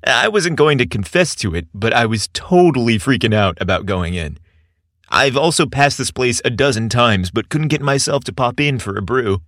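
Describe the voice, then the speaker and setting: A man puts on a timid, friendly young man for a romantic story. His talk is casual, soft and a little awkward, recorded dry and close. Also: mid-range voice, lively intonation, normal speed, no echo. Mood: relieved, amused.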